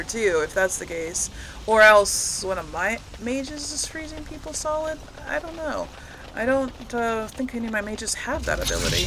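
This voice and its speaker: A woman casually commentating on her video game playthrough, chatting and thinking aloud as she plays. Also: on the high side. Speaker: gamer narrating a playthrough. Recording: loud game noise behind voice. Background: video game battle sounds.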